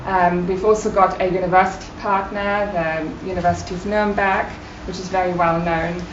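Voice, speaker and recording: A woman's voice: on the low side, level tone, fairly quick. A woman from Germany, a native German speaker, giving an informal, appreciative impromptu speech at a forum. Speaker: guest speaker, care project manager and doctoral researcher. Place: meeting room at a university campus. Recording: echoey room, distant mic, muffled, loud noise.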